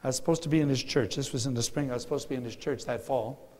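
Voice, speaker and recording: A man's voice: medium pitch, steady tone, rapid-fire, a little mellow. An older man teaching a congregation about church music from a lectern, earnest and emphatic with dry humor. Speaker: guest speaker on church music. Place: large church sanctuary. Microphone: lectern mic. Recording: long church hall reverb.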